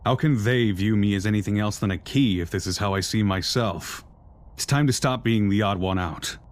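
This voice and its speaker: A man voicing an earnest, world-weary outcast soldier in a video game, low and reflective. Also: moderate pace, lightly acted. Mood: reflective, resolute. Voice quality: low-pitched.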